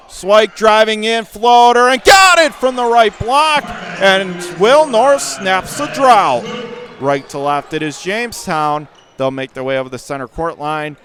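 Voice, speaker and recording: High-pitched man calling basketball play-by-play. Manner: clipped phrases that rise to excited calls on big plays. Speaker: play-by-play sports announcer. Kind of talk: basketball radio play-by-play commentary. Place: high school gym during a game.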